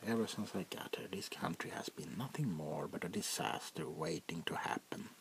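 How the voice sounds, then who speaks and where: A man playing a haughty, centuries-old French vampire prince in a soft-spoken, half-whispered ASMR roleplay, speaking deliberately and with condescension. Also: low voice. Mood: brooding, wary. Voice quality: gravelly, breathy half-whisper. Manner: slow, deliberate, condescending, drawn-out pauses.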